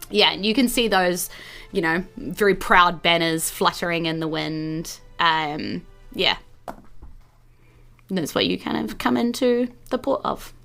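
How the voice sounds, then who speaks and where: A woman's voice: lowish voice, creaky, a bit bright. A woman with a New Zealand accent, improvising narration as a tabletop game master.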